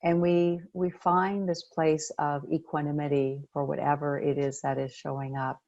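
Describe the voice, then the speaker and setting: Meditation coach, woman, low gravelly fry, gentle and unhurried delivery. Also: little pitch movement, dry recording, lo-fi sound. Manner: gentle, unhurried, pausing between phrases. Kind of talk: guided meditation.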